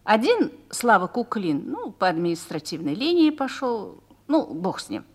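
A woman's voice: medium pitch, very animated, normal speed. An actress playing a seasoned, motherly garrison officer's wife who instructs earnestly and persuasively in a Russian radio play. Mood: storytelling.

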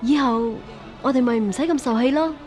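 聲線偏高、倔強又怨憤嘅香港年輕歌女，女演員演繹. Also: female voice, high-pitched, a little fast, darkish tone, soft background music, lightly acted. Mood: desperate, bitter. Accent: Hong Kong Cantonese. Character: bitter, defiant young street singer daughter. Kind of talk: Cantonese radio drama acting.

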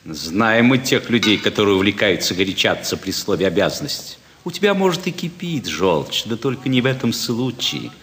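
Shrewd gambler, wry, teasing, very animated intonation, mid-pitched, hissy stage comedy recording. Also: male voice, normal speed, few pauses. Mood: wry, sceptical. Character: shrewd, sceptical male gambler hosting a card game. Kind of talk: classic stage comedy acting. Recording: echoey reverberant stage recording with hiss.

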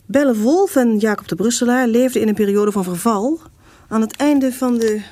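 A woman's voice: a little high, moderate pace, mellow. A woman reading a scripted introduction to a radio serial as its narrator.

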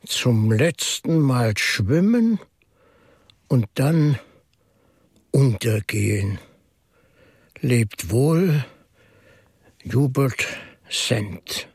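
A gravelly, musing priest-detective. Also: male voice, medium pitch, lively intonation, darkish tone, dry recording, clean studio sound, heavily acted. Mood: slow reading. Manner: deliberate, musing, whimsical. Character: shrewd, whimsical male priest turned amateur detective. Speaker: actor in a radio play. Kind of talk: German radio drama dialogue.

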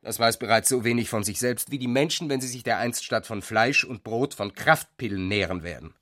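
A man's voice: mid-range voice, fairly quick, fairly bright tone, close to an everyday voice. Man acting a scornful, jealous intellectual husband. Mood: scornful lecturing. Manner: emphatic, didactic, scornful, spacing words out. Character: jealous, disapproving husband, brooding intellectual with growing aversion. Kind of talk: literary radio drama acting.

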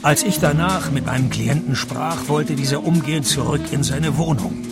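An actor playing a dry, laconic private detective, narrating and questioning tersely in a comic radio play. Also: male voice, on the high side, moderate pace, vocal fry, loud music behind the voice. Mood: deadpan narrating. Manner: deadpan, terse.

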